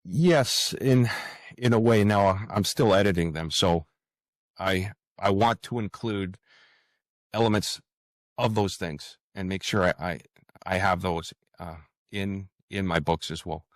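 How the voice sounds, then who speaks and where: A man with a low, deep voice speaks as a guest in a relaxed interview format. He is earnest and halting, often searching for his words. The sound is dry, with very little room around it. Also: no room sound. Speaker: guest author. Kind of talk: conversational interview answers.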